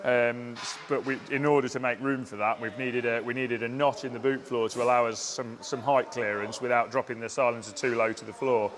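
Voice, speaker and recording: A man's voice: mid-range voice, steady tone, a crowd in the background. A man giving a casual walkaround update on a car build in a workshop, talking technically and restarting his sentences often. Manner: halting, restarts often, plain technical talk.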